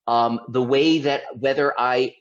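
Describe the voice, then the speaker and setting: A man's voice: medium pitch, steady tone, a little slow. A man from Baltimore giving an informal presentation as a lawyer, talking conversationally with restarts and rhetorical questions. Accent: Baltimore, mostly suppressed. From Baltimore, United States. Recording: slightly dull lo-fi audio.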